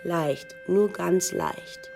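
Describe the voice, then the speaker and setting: A woman's voice: fairly low pitch, expressive, drawn-out words, creaky, mellow, soft background music, exaggerated voice. An actress playing a modern woman who comments wryly on history in a radio play. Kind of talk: documentary radio play dialogue.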